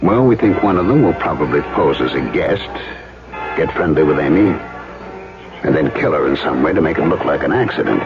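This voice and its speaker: An actor playing a grave detective in a radio drama, speaking confidentially and matter-of-factly. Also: male voice, rumbling low, lively intonation, a little fast, over music. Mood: grave, confiding. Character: grave male detective working undercover. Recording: muffled radio broadcast.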